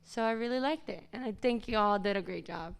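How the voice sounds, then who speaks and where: A woman's voice: mid-range voice, a little fast, gravelly, smooth. A woman in an audience giving casual, appreciative feedback in simple, sincere phrases.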